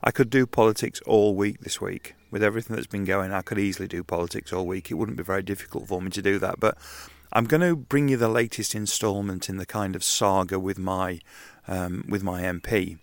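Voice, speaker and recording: Croaky, nasal man rambling outdoors. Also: low-pitched, fairly even intonation, a little fast, dry recording. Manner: rambling, conversational, frequent ums and restarts. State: croaky and nasal from hay fever. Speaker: podcast host. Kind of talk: casual solo podcast monologue. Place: outdoors in a garden.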